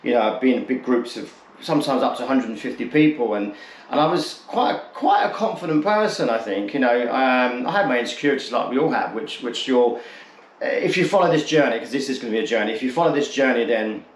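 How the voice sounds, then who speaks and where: A man in his late forties speaks casually to his viewers in a medium-pitched voice. He is candid and loose, often circling back to reword what he has said. The recording has a clear room echo. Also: expressive, brisk. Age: late 40s. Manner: candid, rambling, repeats and rephrases himself. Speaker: vlogger introducing his channel. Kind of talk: casual talk to camera. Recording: echoey untreated room.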